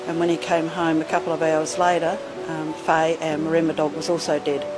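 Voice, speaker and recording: A woman with a somewhat low voice recounts events haltingly, pausing often. Music plays clearly underneath her. Her speech is quiet and full of fillers. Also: monotone, poor audio. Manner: halting, emotional, many fillers. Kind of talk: recorded personal account.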